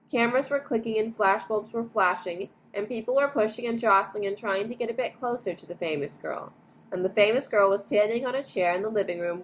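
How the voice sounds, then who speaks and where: Woman, storyteller, bedtime story read aloud, medium pitch, clear background noise. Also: fairly bright tone, rough recording.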